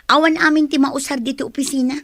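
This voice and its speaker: A woman's voice: high-pitched, fairly even intonation, fast talker, a bit bright, no echo. A woman playing a sly, gleeful accomplice in an audio drama, coaxing and conniving in her delivery. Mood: urging. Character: sly, gleeful female accomplice urging a scheme. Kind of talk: Ilocano audio drama acting.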